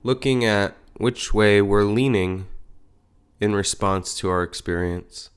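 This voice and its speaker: A man leading a guided meditation as a teacher, speaking in gentle, unhurried phrases with pauses. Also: on the low side, clean tone.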